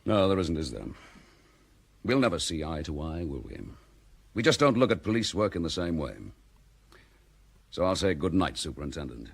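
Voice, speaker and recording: A man's voice: deep voice, sing-song, normal speed, pauses often, husky, exaggerated voice. A man playing a dogged, blunt chief inspector in a vintage radio drama. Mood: wry.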